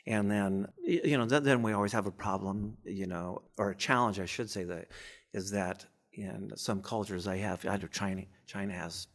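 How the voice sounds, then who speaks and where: A man's voice: on the low side, normal speed. A man speaking as an expert guest in an informal interview, stammering and repeating words as he explains.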